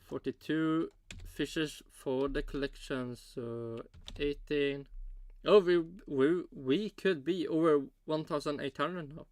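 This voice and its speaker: A man hosting a casual reaction video, talking haltingly with many fillers as he counts aloud. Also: a little high, a little mellow, dry recording. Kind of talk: casual commentary while watching.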